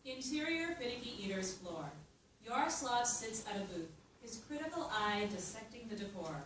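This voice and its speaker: A woman's voice: on the high side, very animated, normal speed. Woman reading stage directions, matter-of-fact, heavy room echo, noisy. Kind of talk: script table read narration. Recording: echoey room, far mic, noise.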